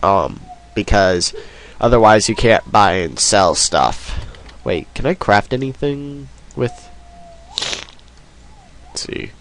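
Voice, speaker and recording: A man's voice: fairly low pitch, lively intonation, slow, long pauses, fairly bright tone. A man with a cold, sniffling as he rambles through casual let's play commentary. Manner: rambling, self-deprecating, many ums.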